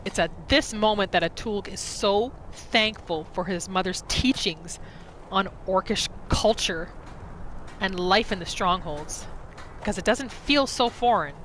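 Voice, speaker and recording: A woman's voice: mid-range voice, measured pace, a bit bright, a little hiss or hum, rough recording. A woman doing roleplay gameplay commentary, narrating her character's thoughts and reacting to the game. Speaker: gaming video creator, roleplayer. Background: faint music.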